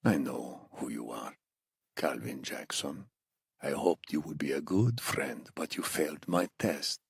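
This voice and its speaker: A man voicing a menacing, muscular male interrogator with a Middle Eastern accent, soft-spoken, deliberate and mock-friendly in an audiobook thriller. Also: very deep voice, lively intonation, vocal fry, slightly rough, no echo, clean studio sound, pitched below the performer's own voice, full character voice. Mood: quiet menace. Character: menacing muscular Iranian interrogator, coldly polite torturer.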